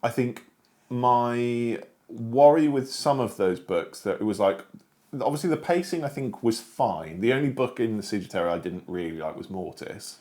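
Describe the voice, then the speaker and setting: A man's voice: mid-range voice, lively intonation, moderate pace, a little mellow. A man in a casual, informal discussion, talking in a slightly hesitant, hedging way. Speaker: discussion show panelist. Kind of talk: casual chat, book discussion.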